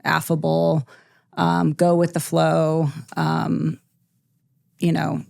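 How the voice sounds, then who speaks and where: Middle-aged woman w/ a bit of vocal fry, talking slow and thoughtful, dry close mic. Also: deep voice, no echo. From born in Iceland, military family. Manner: relaxed, thoughtful, many ums and pauses. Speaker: company president, guest. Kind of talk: podcast interview, reflective storytelling.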